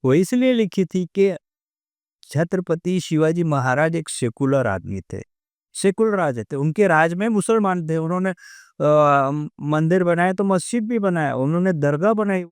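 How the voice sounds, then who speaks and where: A man's voice: on the high side, expressive, a little slow, clear, resonant tone, no echo, studio quality. A man with an Indian accent giving his opinions as an interview guest, conversational and emphatic, repeating key phrases.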